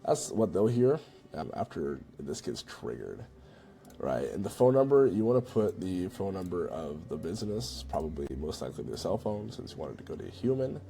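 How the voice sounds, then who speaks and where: Deep-voiced, gravelly casual guy presenting a tutorial. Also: sing-song, normal speed, dark tone, soft background music. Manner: informal, conversational, checks in with "right?" and "you know". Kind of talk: casual screen-recorded software walkthrough.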